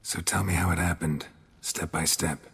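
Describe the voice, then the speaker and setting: An actor playing a gruff, stoic, weathered monster hunter in a video game, laconic and deadpan, with a gravelly voice. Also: male voice, sits low, moderate pace, big-room sound, full character voice. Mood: calm, serious. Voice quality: gravelly, husky. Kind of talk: video game dialogue acting.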